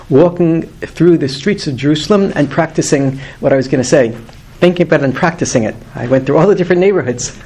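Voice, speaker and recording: A man's voice: fairly high pitch, a little mellow, a little hiss or hum. A man in his fifties teaching a weekly Torah class to a group, earnest and emphatic, lifting his voice on key words. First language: not English.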